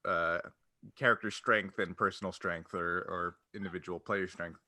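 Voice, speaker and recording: A man's voice: low voice, fairly even intonation, moderate pace, sharp tone, dry recording, studio quality. A man hosting a podcast and acting as game master, explaining things casually in a rambling way that often interrupts itself.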